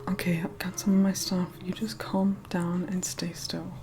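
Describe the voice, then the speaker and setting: A woman's voice: on the low side, level tone, moderate pace, natural voice. A woman playing a haughty, impatient immortal vampire in a whispered ASMR role-play, curt, condescending and bossy as she reluctantly helps. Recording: close whispered voice under loud music. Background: music.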